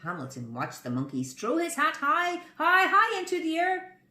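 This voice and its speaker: Animated Irish woman reading to children, echoey. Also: sits high, moderate pace. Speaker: library storyteller. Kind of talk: expressive children's story reading.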